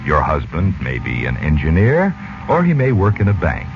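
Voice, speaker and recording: A man's voice: deep voice, wildly swooping pitch, moderate pace. A man announcing and reading an advertisement in polished, emphatic 1940s radio style. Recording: muffled old radio recording. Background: loud music.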